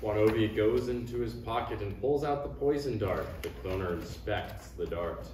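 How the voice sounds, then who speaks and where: A man's voice: on the low side, normal speed, darkish tone. A man reading stage directions as scripted narration at a live table read. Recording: echoey room, distant mic, faint noise.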